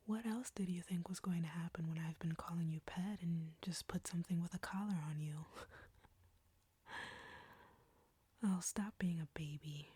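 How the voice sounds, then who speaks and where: A woman playing an arrogant, flirty vampire captor in an intimate audio roleplay, breathy and whispery, taunting and commanding. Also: fairly low pitch, dark tone, dry recording. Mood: taunting, domineering.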